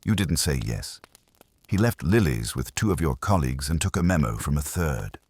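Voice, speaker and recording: A man's voice: extremely low pitch, sing-song, moderate pace, darkish tone, breathy edge, heavily acted. A man reading the part of a wisecracking private detective's legman in an audiobook, wry, blunt and persuasive. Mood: insistent.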